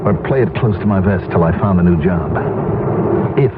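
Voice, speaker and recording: An actor playing an anxious middle-aged American executive who has lost his job, brooding and halting in 1970s radio drama style. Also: low voice, heavy background noise, lightly acted. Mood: brooding, despairing. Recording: muffled old radio recording, music behind.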